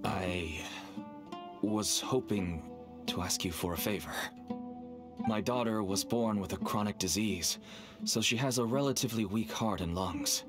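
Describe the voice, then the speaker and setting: A man's voice: lowish voice, unhurried, lightly acted. A man voicing a nervous, wheedling middle-aged father and dealer in a game, with a persuasive manner. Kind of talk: game voice acting. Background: game music.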